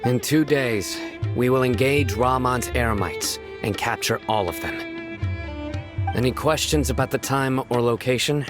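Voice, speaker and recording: An actor playing a stern, aloof young general in a video game, terse and commanding. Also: male voice, mid-range voice, a little slow, close to an everyday voice. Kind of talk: video game cutscene acting. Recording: music behind the voice.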